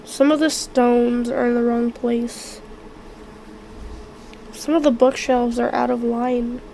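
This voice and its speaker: A woman running a tabletop game on stream, halting and clearing her throat as she narrates while sick and losing her voice. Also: a little high, fairly even intonation, mellow, slight background noise, rough recording. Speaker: game master.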